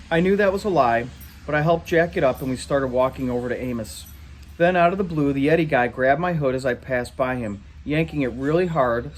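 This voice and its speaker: A man reading a story aloud in an animated way. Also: mid-range voice, slight background noise. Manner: animated, acts out dialogue in shouts. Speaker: reader. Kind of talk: read-aloud story narration.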